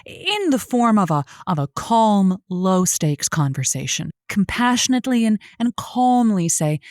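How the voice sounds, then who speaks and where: A woman's voice: medium pitch, sing-song, unhurried, a little mellow, dry recording. A woman playing an earnest, encouraging radio advice host in a comedic audio drama, speaking close to a studio microphone. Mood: soothing, earnest.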